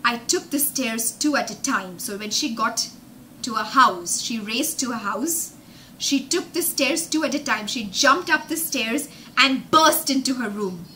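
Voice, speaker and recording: A young woman telling a story as a children's teacher, dramatic and emphatic, pausing to explain words with synonyms. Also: on the high side, expressive, sharp tone, a little hiss or hum, poor audio. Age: young adult. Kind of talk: animated storytelling lesson with explanations. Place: indoor room with glass-fronted bookshelves.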